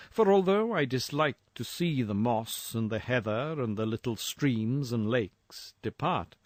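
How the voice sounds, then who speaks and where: A man reading a gothic horror tale as a scripted narration, in a measured, literary storytelling manner. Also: medium pitch, no echo, studio quality.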